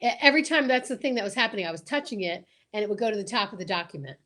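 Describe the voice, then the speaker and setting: A woman's voice: mid-range voice, lively intonation, racing, fairly bright tone. Enthusiastic woman chatting on a livestream. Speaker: show host. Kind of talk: casual chat on a livestream.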